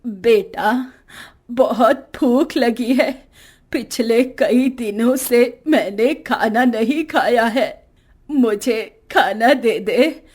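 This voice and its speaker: A woman puts on a frail old villager for a cartoon moral tale. She speaks slowly and wearily, pitched well down from her own voice, with a humble, pleading manner. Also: a little mellow, breathy edge, full character voice. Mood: weak, pleading. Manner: pleading, slow, weary. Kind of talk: Hindi moral-story cartoon acting.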